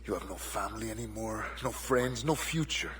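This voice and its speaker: An actor playing a cold, dignified, scornful older Templar villain in a video game. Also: male voice, on the low side, lively intonation, moderate pace, fairly bright tone. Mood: quietly scornful. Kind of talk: cinematic video game acting.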